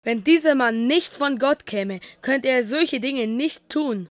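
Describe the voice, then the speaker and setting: Woman voicing an earnest, high-voiced humble man. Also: takes it slowly, dry recording. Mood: defiant, incredulous. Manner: earnest, emphatic. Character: earnest, plain-spoken man healed of blindness. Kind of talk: dramatised scripted audio drama.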